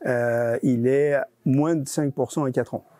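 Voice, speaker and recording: A man's voice: medium pitch, steady tone, a little slow, dark tone, no room sound. A man giving explanatory interview answers, hesitating and often repeating his words as he goes. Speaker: interviewee, regional deputy director of a nonprofit.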